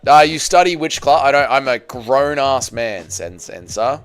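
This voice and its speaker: A man's voice: mid-range voice, animated, a little slow, smooth. A man in his thirties hosting a casual livestream, talking in a blokey, crude, repetitive way to his viewers. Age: 30s. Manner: blokey, crude joking, repeats himself, addresses viewers as boys. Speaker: livestream host. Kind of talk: casual livestream chat about MMA, reading out viewer comments.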